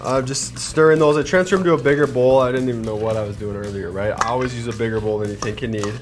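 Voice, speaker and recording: A man in his thirties hosting a cooking demonstration in a studio kitchen, chatty and energetic, talking straight to the viewers. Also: mid-range voice, quick pace. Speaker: cooking show host. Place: home-style studio kitchen, indoors. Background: background music, sizzling pan.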